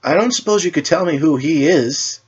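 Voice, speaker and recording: A man's voice: on the high side, animated, normal speed, slightly rough, muffled recording, voice pushed up. A man voicing a curious, hesitant teenage girl witch in a superhero story.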